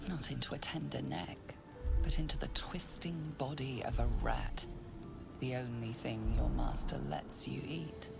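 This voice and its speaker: A woman playing a grave, ominous narrator in a fantasy video game, delivering scripted narration in a hushed, portentous way. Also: a bass voice, expressive, measured pace, vocal fry, exaggerated voice. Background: music.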